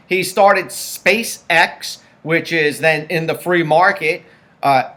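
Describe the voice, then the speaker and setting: A man's voice: sits high, a bit bright. A man hosting a political commentary show, talking emphatically and stacking up points in lists.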